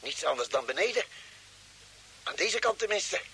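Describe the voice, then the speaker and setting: Careful worried space doctor, creaky highish voice, insistent, hissy old radio play. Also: male voice, very animated, moderate pace, pushed and bright, heavily acted. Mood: puzzled, tense. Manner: cautioning, insistent. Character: male expedition doctor, cautious, concerned crewmate. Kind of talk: vintage radio drama acting.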